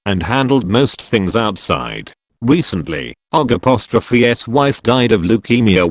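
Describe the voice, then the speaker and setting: A man reads an online story aloud in an animated way, his low voice moving up and down a lot. The sound is dry and close, with no room around it. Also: no room sound. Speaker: story narrator. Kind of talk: reading online stories aloud.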